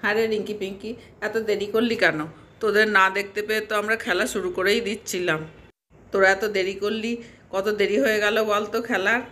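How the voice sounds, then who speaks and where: A woman's voice: medium pitch, fairly even intonation, brisk, a bit bright, natural voice. A woman voicing a bossy, teasing older child who leads a game in a doll-play story. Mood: chiding. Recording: faint background noise.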